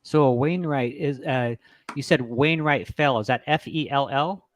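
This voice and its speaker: A man's voice: medium pitch, measured pace, dry recording. An American man hosting a casual hobby discussion, asking questions in a hesitant, rambling way. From United States. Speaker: host.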